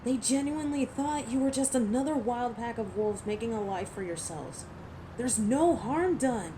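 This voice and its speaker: A woman's voice: on the high side, lively intonation, normal speed, background noise, close to an everyday voice. A woman playing a defiant, hot-tempered, sarcastic female werewolf who protects her turf in an audio drama. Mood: sardonic. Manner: sardonic, taunting. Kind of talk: dramatic audio roleplay acting.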